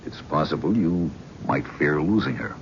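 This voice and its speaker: An actor playing a calm, probing male psychiatrist who speaks in a measured, frank way. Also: rumbling low, creaky, slight background noise, full character voice. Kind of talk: 1970s radio drama acting. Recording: dull vintage radio broadcast.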